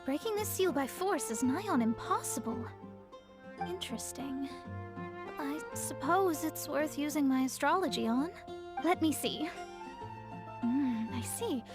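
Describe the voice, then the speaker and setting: A woman's voice: high voice, lively intonation, a little slow, pauses often, music in the background. A woman playing a proud young female astrologer in an anime-style game, formal and dramatic but easily flustered. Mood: confident, focused. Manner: haughty, formal wording, flustered stammering.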